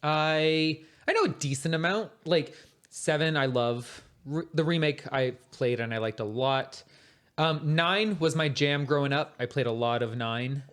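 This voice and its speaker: Podcast co-host, man, relaxed conversational style, informal phrasing. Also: fairly high pitch, a little slow. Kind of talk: casual chat.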